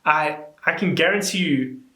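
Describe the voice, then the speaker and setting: A young man chatting casually in a reaction vlog, reading comments aloud and reacting with animated disbelief. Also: normal speed. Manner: animated, incredulous, rising pitch when exasperated. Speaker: YouTuber. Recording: echoey room.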